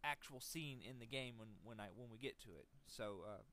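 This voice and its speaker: A man giving casual, unscripted video game commentary, speaking haltingly, hedging and repeating words as he thinks. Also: medium pitch, sharp tone. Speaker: let's play commentator.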